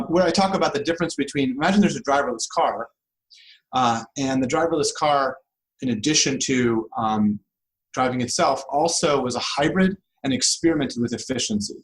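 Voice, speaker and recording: A man's voice: medium pitch, rough recording. Male professor giving an interview, conversational. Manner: informal, rhetorical tag questions like "right?". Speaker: interview guest, law professor.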